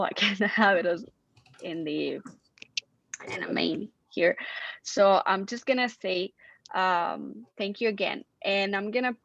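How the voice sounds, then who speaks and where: A woman's voice: medium pitch, no room sound. A young woman from Spain with a Spanish accent, effusively closing a student event on a video call, with many restarts. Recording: dull lo-fi call audio.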